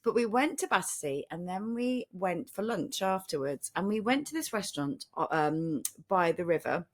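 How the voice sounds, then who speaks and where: A British woman co-hosting a podcast in casual chat, telling stories in an animated, chatty way. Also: lowish voice, moderate pace. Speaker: podcast co-host.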